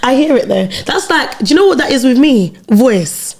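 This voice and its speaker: A young woman hosting a relaxed podcast, quick with jokes and teasing banter. Her voice sits high, with a slightly husky edge. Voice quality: husky low-sounding voice, she says. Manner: playful, jokey, quick banter. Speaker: podcast host. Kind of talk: casual chat.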